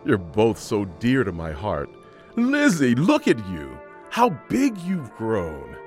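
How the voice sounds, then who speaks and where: A man's voice: fairly low pitch, wildly swooping pitch, slow, a little mellow, faint music behind, heavily acted. An actor playing a loving, tender enslaved father in an audio drama. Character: loving, tender enslaved father, deep-hearted family man.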